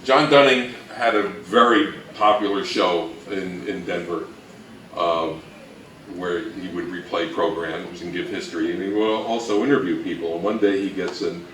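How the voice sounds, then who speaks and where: A man giving an informal history talk, halting and anecdotal, with dry humour. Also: low-pitched, steady tone, a bit bright. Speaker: co-presenter of a talk on old-time radio history. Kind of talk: informal lecture to a live audience. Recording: echoey room from far off, faint noise.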